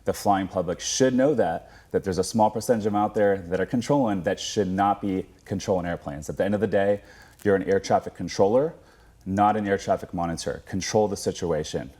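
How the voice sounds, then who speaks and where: A man's voice: low-pitched, a little fast, dark tone. An American man speaking as an expert guest in a news interview, explaining things in an earnest, firm way. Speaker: interview guest, former air traffic controller.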